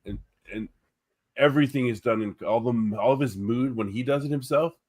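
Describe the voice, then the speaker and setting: A man co-hosting a casual art livestream, enthusiastic and quick to agree. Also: medium pitch, darkish tone. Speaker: co-host and colorist.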